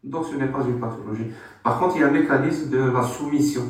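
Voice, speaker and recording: A calm man asking probing questions in an online debate. He sounds distant from the mic, in a very echoey space. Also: mid-range voice, quick pace.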